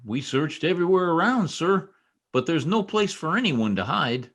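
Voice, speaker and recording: An actor playing an earnest, insistent young police trooper in an old-time radio drama, speaking with urgent emphasis. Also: male voice, fairly high pitch, lively intonation, moderate pace, fairly bright tone.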